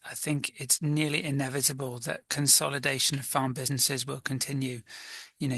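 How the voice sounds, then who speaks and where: A man from mid Wales, a magazine editor answering interview questions in a thoughtful, measured way with frequent fillers. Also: medium pitch, creaky, bright, forceful tone, no echo.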